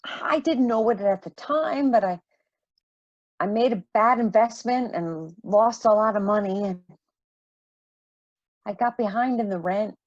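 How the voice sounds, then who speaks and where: A woman acting a troubled, apologetic mother in a modern radio play, speaking earnestly and haltingly. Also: mid-range voice, no echo, highs cut off, natural voice. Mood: guilty, apologetic.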